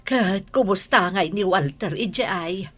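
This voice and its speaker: A woman's voice: medium pitch, slow pace, soft background music. A woman playing a fretful, wary older mother in an Ilocano Filipino radio drama. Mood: concerned.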